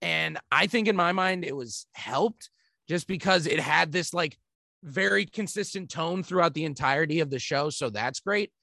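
A man's voice: fairly high pitch, normal speed, vocal fry, bright, forceful tone, no echo, clean studio sound. A man co-hosting a podcast, chatting casually and thinking aloud while searching for words. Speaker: podcast co-host. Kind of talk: casual chat.

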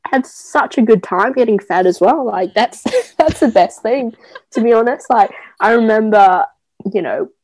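A young woman, a guest telling stories to a friend in an animated, mock-dramatic way. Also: on the high side, measured pace, no room sound, low-quality recording. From Taiwan, raised in New Zealand from age seven. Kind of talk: casual chat with a friend.

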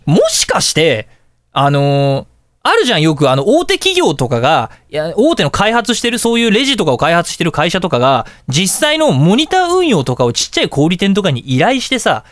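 A man hosting a casual radio talk show, telling anecdotes in an animated way and acting out dialogue and reactions. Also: sits high, fairly quick, no room sound, clean studio sound.

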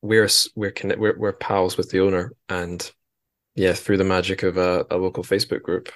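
A man's voice: low voice, flat delivery, normal speed. A man hosting a podcast in a casual book discussion, chatty and wry, rambling as he goes. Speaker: podcast host.

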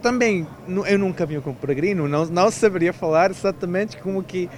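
Man, halting, slightly high voice. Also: slight background noise. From Mexico. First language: Spanish. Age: 30s. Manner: halting, restarts often, mixes Brazilian and European Portuguese phrasing. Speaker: volunteer interviewee. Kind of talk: casual interview answers.

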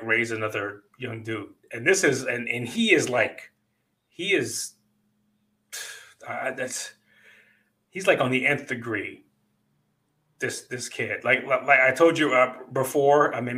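A man's voice: medium pitch, sharp tone. A man in his fifties hosting a casual podcast chat, rambling and profane, with lots of fillers. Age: 50s. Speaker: podcast host.

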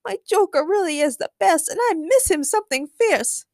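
A lovesick young female prankster put on by a woman reader with a high, swooping voice. She is dramatic and gushing, full of old-fashioned slang, in a comic story. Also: big pitch swings, a little fast, no room sound, clean studio sound. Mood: pleading.

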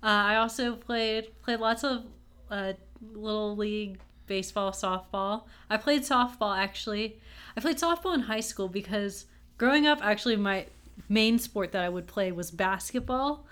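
A woman's voice: medium pitch, normal speed, fairly bright tone, smooth. Chatty upbeat young woman from Texas. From Texas, USA. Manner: chatty, upbeat, self-mocking, laughs often. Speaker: podcast co-host. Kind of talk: casual podcast chat.

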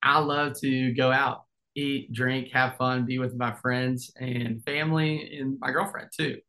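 A man's voice: mid-range voice, flat delivery, measured pace, sharp tone. Easygoing joking man in his twenties. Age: 20s. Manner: easygoing, joking, laughs often. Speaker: podcast guest. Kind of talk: casual interview chat.

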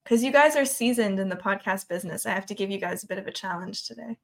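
A woman's voice: mid-range voice, fast talker, vocal fry. A woman hosting a podcast, chatting casually with her guests. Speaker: podcast host interviewing guests. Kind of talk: casual chat.

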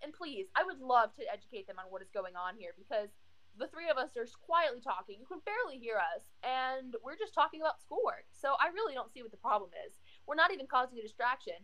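A woman's voice: high voice, very animated, fast talker, sharp tone, low-quality recording. A young woman co-hosting a casual podcast, ranting bluntly with frequent restarts. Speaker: podcast co-host. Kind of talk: casual chat.

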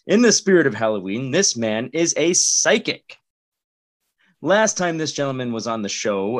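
A man hosting a comedy podcast, speaking deliberately with dry politeness and some halting phrasing. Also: medium pitch. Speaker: podcast host. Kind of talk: podcast hosting with comic banter.

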